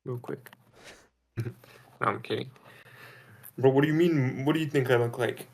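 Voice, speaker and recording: A man's voice: mid-range voice, moderate pace, creaky, darkish tone. A man on a voice call, defensive and irritated, swearing often. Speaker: caller. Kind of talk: heated argument on a voice call.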